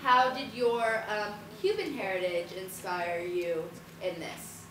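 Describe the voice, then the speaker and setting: A woman's voice: mid-range voice, slow pace. A woman in an audience hesitantly asking casual questions of a panel. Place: indoor room, public post-show discussion. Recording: very echoey, noisy room, far from mic.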